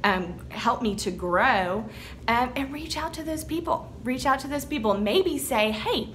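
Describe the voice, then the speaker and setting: A woman's voice: on the high side, lively intonation, unhurried, fairly bright tone, a little hiss or hum. A young woman with a Texan accent giving an encouraging motivational talk to her business team, often checking in with "okay?". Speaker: network marketing team leader.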